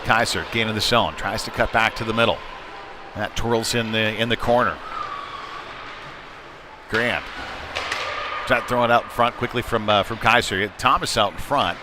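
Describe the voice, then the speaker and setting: A man's voice: fairly low pitch, steady tone, normal speed, long pauses. A man giving live play-by-play hockey commentary in clipped phrases with conversational asides. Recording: noisy rink ambience behind live commentary. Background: sports venue noise.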